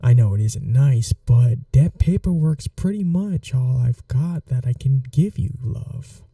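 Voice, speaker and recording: Man acting a dark-voiced, unhurried mafia boss. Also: mid-range voice, expressive, no echo, recorded from a distance. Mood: dry, businesslike. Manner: unhurried, reassuring, teasing. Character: calm, flirty, protective male mafia boss. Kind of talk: soft-spoken ASMR roleplay acting.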